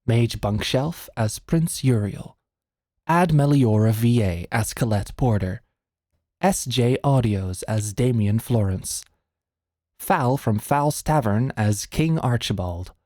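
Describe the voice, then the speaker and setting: A man's voice: mid-range voice, animated, slow pace, darkish tone, no room sound. A man reading the closing credits and support notes as the show's producer. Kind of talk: scripted outro announcement. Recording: dry with faint music behind.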